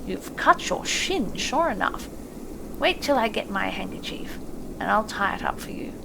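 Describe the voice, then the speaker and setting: Storybook casting: sensible, good-hearted gentleman rat done by a woman, creaky voice over a noisy background. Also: medium pitch, expressive, normal speed. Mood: kindly. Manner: kindly, practical. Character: practical, kindly male water rat, brisk friend. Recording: clearly noisy, sound effects behind.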